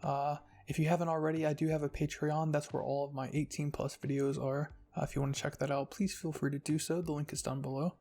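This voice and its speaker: A narrator with a husky, creaky voice reading fan fiction in a soft scripted storytelling style. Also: mid-range voice, little pitch movement, fast, few pauses. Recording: music behind the voice.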